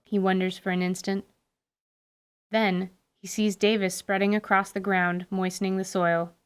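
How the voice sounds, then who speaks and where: A woman's voice: medium pitch, clear, resonant tone. A woman giving dramatic, scripted narration for a fantasy radio play. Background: music.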